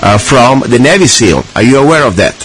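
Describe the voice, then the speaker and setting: A man's voice: mid-range voice, very animated, a little fast, a bit bright, husky, poor audio. A man hosting a radio talk show, chatting casually with many restarts and fillers.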